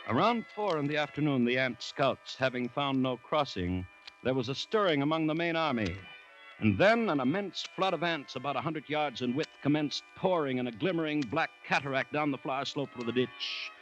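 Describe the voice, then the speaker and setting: An actor playing an anxious, grave commissioner who recounts a jungle siege in a dramatic 1940s radio style. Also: male voice, medium pitch, animated, dull audio, natural voice. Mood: grave, suspenseful. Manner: dramatic, measured storytelling with tense dialogue. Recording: vintage 1940s radio recording, loud music.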